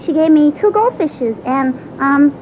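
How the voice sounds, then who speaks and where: A woman's voice: expressive, unhurried. A woman playing a sulky, aggrieved young schoolgirl who rambles in a childlike, indignant monologue. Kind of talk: character monologue. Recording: tinny phone-like band, faint rumbling noise.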